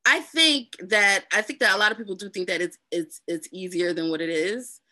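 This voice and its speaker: A woman's voice: mid-range voice, brisk, sharp tone, no room sound, studio quality. A woman with a New Jersey accent, a voice narrator talking casually as an interview guest, full of fillers and repeats. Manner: chatty, uses lots of fillers and repeats.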